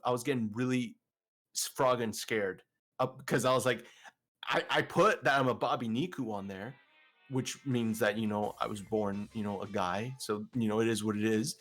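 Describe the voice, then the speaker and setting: A man with a deeper voice, guesting on an interview and talking in a casual, rambling way with many restarts. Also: fairly bright tone. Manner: rambling, filler-heavy, frequent restarts. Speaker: vtuber guest.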